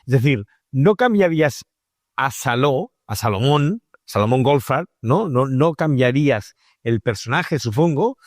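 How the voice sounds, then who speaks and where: Male interviewer, mid-pitched, conversational and discursive, very dry recording. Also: lively intonation, measured pace, darkish tone, dry recording. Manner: discursive, frequently seeking agreement with tag questions.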